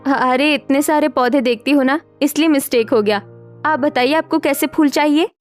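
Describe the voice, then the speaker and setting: High-pitched fretful young woman acted by a woman. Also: normal speed, faint music behind, natural voice. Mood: flustered. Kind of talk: animated Hindi story dialogue.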